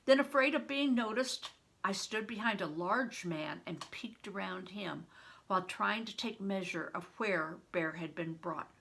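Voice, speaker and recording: An older woman reading a novel aloud as a storyteller for children, with deliberate, dramatic phrasing and stretched emphasis. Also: medium pitch, gravelly, pushed and bright, slightly rough. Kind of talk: expressive read-aloud storytelling.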